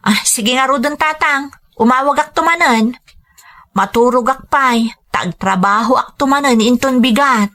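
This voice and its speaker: A dutiful grown daughter working far from home played by an actress in a radio drama. She has a slightly high voice and an Ilocano accent, and she sounds reassuring. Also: fairly bright tone, lightly acted.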